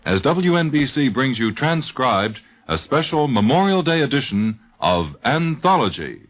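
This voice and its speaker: An American man announcing a 1950s radio program from a script in a formal, measured way. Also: medium pitch, sing-song. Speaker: announcer and host. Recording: music under 1950s radio broadcast. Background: loud music.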